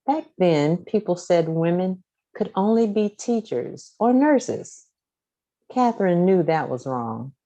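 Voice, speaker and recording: A woman reading a children's story aloud from a script, with deliberate, expressive phrasing. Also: on the low side. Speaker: storybook reader.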